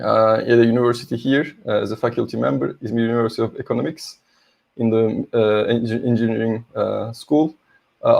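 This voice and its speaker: Turkish-accented middle-aged man, lecturer on a video call, friendly, halting, a touch of vocal fry. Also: medium pitch, a little mellow. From Turkey. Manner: hesitant, many fillers, friendly. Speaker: conference speaker, university lecturer. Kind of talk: online tech talk presentation.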